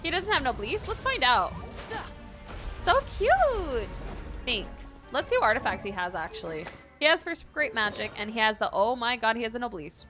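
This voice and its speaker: A young American woman from Florida chatting casually as she streams a game, bubbly, expressive and rambling. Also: a little high, a little fast, hardly any pauses. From Florida, United States. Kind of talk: casual reaction chat while gaming. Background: soft game music.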